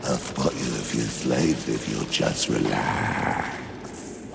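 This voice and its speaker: An actor playing a huge, lazy, world-weary demon lord in a game cutscene, with a drawling, theatrical, condescending delivery. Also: male voice, a bass voice, takes it slowly, gravelly, echoey room, very noisy, exaggerated voice. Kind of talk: video game cutscene acting.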